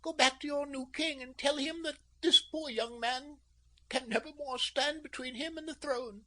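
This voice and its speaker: A man reading an audiobook, voicing a proud, defiant young noblewoman in a high, breathy falsetto. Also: moderate pace, pushed and bright, heavily acted, rough recording. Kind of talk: audiobook narration.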